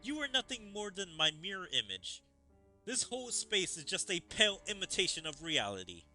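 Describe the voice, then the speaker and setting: A man's voice: sits high, very animated, moderate pace, pushed and bright, soft background music, voice pushed up, exaggerated voice. A man doing a haughty young swordswoman. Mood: scornful.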